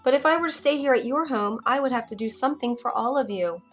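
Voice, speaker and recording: Lady doing a sweet young princess, high voice, kind, storybook reading. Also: fast, faint music behind, natural voice. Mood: grateful.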